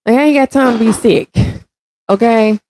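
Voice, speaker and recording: A woman in her thirties hosting a casual livestream, congested and with a raspy throat, chatting directly with viewers and reading their comments. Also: on the high side, moderate pace, dark tone, slight background noise. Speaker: stream host.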